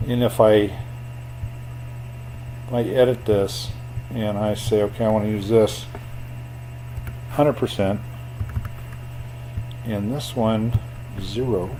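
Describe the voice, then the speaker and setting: Low-pitched man, flat and unhurried, for a screen tutorial with faint hum. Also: rough recording. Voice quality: self-described boring voice. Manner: plain, unhurried explaining with fillers.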